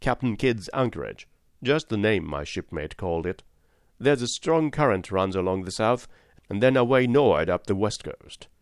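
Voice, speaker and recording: A man voicing a wily old one-legged pirate cook who talks in a folksy, rough sailor's way. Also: on the low side, lively intonation, normal speed, no room sound, studio quality. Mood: wheedling.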